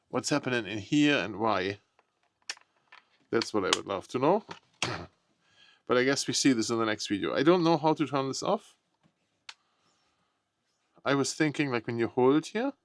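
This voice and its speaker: A man's voice: medium pitch, creaky, slight background noise. A man giving casual, unscripted unboxing commentary, thinking aloud in short, tentative remarks. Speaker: product unboxing vlogger.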